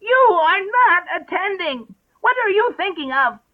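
A woman voicing a severe, easily offended storybook mouse in a sharp, declamatory manner. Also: very high voice, expressive, unhurried, heavily acted. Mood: indignant, severe. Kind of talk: dramatised storybook reading. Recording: tinny, narrow-band radio-like sound.

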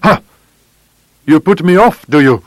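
A man voicing a furious, menacing old villain in an audiobook, snarling and blustering as he shouts. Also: medium pitch, very animated, unhurried, dry recording. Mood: taunting fury. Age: older. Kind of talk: audiobook character voice.

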